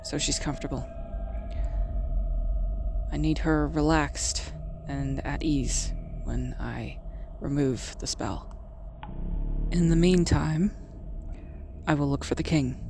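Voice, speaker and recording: A woman playing a calm, caring, quietly wry witch in an ASMR roleplay, speaking softly and in a measured, soothing way. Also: deep voice, noisy background. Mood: gentle concern. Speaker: voice actress. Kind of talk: ASMR roleplay acting addressed to the listener.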